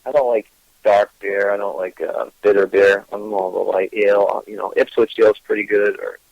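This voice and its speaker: A man's voice: fairly low pitch, fairly even intonation, brisk, dry recording, dull audio. A man with a Gloucester, Massachusetts accent, a guest chatting casually, with an easygoing manner full of ums and uhs. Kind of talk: casual interview chat.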